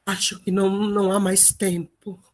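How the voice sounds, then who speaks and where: A woman's voice: medium pitch, little pitch movement, normal speed, natural voice. A woman playing a proud, vengeful epic hero in a solemn, declamatory audio drama style. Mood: weak, dying.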